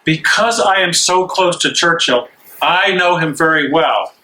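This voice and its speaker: An actor playing a cautious English bishop in a stage reading, formal and admonishing in manner. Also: male voice, fairly high pitch, measured pace, bright, forceful tone, rough recording. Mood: firm.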